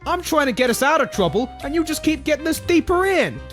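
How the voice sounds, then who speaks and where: Man doing a cocky, high-voiced young wise guy. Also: big pitch swings, quick pace, fairly bright tone, soft background music, heavily acted. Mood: exasperated. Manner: slangy, cocky, griping. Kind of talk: comic dub voice acting.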